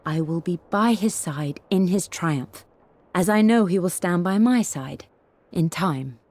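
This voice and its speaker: A woman's voice: on the low side, animated, unhurried, darkish tone, slight background noise. An actress playing a devoted English painter and wife, reading her private journal in a reflective, tender way for a game. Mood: wistful, tender. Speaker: voice actor. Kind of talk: dramatic reading of a personal letter or journal.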